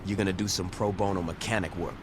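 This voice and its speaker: A tough gang leader from a video game, acted by a man, with a low voice. He is terse, dryly sarcastic and threatening, heard against loud background noise. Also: fairly even intonation, quick pace, lightly acted. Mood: sardonic, threatening. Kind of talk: video game cutscene acting.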